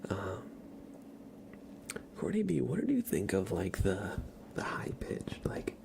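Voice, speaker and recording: Low, creaky whispering ASMR man. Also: huge pitch swings, slow. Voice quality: whispery, breathy soft voice. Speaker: ASMR livestreamer. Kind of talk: ASMR, chatting softly with live chat.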